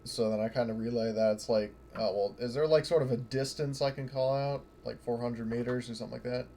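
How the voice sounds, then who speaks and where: A man's voice: mid-range voice, steady tone, fairly quick, warm tone. Casual male gamer with background noise. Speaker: tabletop roleplaying player. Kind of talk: casual chat during a game. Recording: clearly noisy background.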